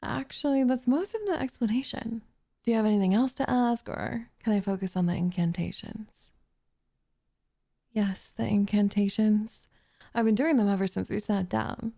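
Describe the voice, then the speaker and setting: Woman playing a soft, whispery, possessive witch, slightly creaky. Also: mid-range voice, moderate pace. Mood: wry, matter-of-fact. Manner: cooing, teasing, smugly condescending, often whispery. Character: doting, possessive young witch turning captive into pet cat. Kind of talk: ASMR roleplay, soft intimate delivery.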